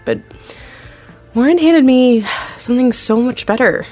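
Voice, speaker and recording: A woman's voice: on the high side, animated, a little slow, darkish tone, faint music behind. An actress in her thirties playing a candid, chatty TV executive producer who laughs easily and talks down her own success. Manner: candid, chatty, laughs often, self-deprecating. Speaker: TV executive producer, interview guest. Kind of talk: scripted audio drama, acted interview.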